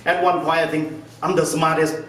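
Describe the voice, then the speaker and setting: A man's voice: on the high side, little pitch movement, moderate pace, a bit bright. Echoey, distant man with Thai accent. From northern Thailand. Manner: self-deprecating, humorous. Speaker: guest speaker, restaurant owner. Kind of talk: informal talk to a small group. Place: indoor meetup room. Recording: echoey room, distant mic, faint noise.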